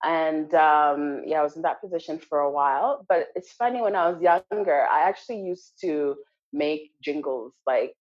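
Interview guest: woman from Malawi, low voice, reflective and thoughtful, dull slightly lo-fi sound. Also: normal speed. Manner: reflective, with frequent fillers and restarts. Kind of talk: casual interview conversation.

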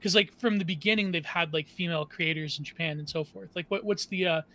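A man's voice: a little high, fast, fairly bright tone. A man talking casually as a panel guest, rambling and filling nearly every phrase with "like". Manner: rambling, constant "like".

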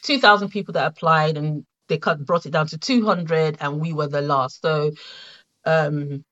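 A middle-aged woman with a Nigerian accent, a guest in an interview, telling her story in a reflective, halting way with many fillers and restarts. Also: low-pitched, fairly bright tone, lo-fi sound. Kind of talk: conversational interview.

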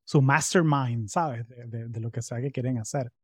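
A man's voice: medium pitch, moderate pace, husky, dry recording, clean studio sound. A young man, hosting a podcast interview in a loose, friendly manner with local slang. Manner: friendly and informal, uses Venezuelan slang. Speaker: podcast host. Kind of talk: casual interview chat.

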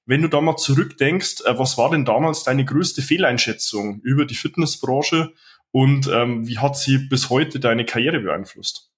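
Male host with a middle-pitched voice; earnest and orderly in his questioning. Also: unhurried. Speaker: podcast host and personal trainer. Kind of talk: interview hosting.

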